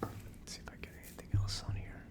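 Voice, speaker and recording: A person whispering in a hushed, unvoiced way, hosting a casual ASMR tasting review. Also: fast talker. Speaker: host of an ASMR whiskey tasting show.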